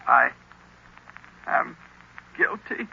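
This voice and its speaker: An actor in an old-time radio drama playing a distressed young lieutenant under a hypnotic spell, halting and stammering. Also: male voice. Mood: dazed, broken. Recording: noisy, narrow-band vintage radio recording.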